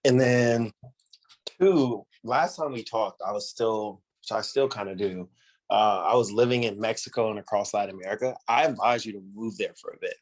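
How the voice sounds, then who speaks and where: A man hosting a casual podcast interview, talking in a relaxed way with casual slang. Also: lowish voice, moderate pace, low-quality recording. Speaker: podcast host.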